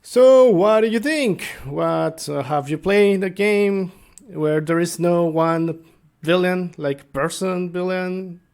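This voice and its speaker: Guy doing a casual gaming vlog, talks slow and kinda gravelly, keeps hunting for words. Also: high-pitched, darkish tone. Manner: halting, searching for words. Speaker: tabletop game master and video host. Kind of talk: casual unscripted explainer vlog.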